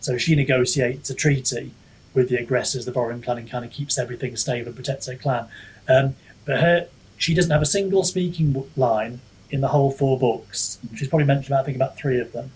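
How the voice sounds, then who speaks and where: A man's voice: mid-range voice, fairly even intonation, a little fast, darkish tone, husky, low-quality recording. A man chatting reflectively as an author being interviewed, hesitant and self-deprecating, often wandering mid-thought. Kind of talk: casual reflective podcast chat.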